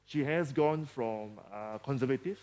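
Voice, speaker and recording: A man with a Malaysian English accent, a surgeon presenting a case in halting speech with many fillers and jokey asides. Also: medium pitch, darkish tone. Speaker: surgeon leading a live demonstration.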